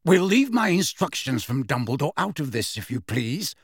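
A man's voice: on the high side, very animated, moderate pace, breathy edge, no room sound, clean studio sound. A man playing an impatient, proud, defiant godfather in a storybook, speaking curtly. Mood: cold, angry. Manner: curt, impatient.

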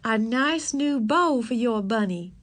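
A woman doing a sweet, gentle teacher. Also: fairly high pitch, lively intonation, unhurried. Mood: kind. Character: kind, gentle schoolteacher woman.

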